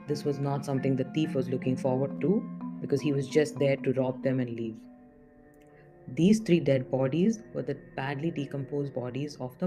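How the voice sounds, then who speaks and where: A woman with an Indian accent narrating a story as a podcast host, in a measured way that poses questions to build intrigue. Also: sits low. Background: music under the voice.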